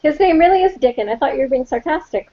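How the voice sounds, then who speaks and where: Halting woman on a muffled call. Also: high-pitched, animated. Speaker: panelist in a review discussion. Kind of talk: casual chat. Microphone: video call. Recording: dull lo-fi video call audio.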